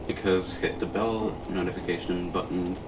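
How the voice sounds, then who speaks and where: A man's voice: low-pitched, fairly even intonation, normal speed. A man co-hosting a video game playthrough with casual, jokey, mock-serious banter. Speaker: gaming video co-host playing the game. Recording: distant echoey room, faint noise.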